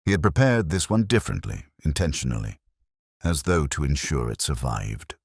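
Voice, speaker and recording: A man narrating a scripted detective drama as a weary, reflective police inspector, in a brooding, measured, literary manner. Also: extremely low pitch, very animated, gravelly, a little mellow, slightly rough, dry recording, studio quality. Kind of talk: scripted first-person audio drama narration.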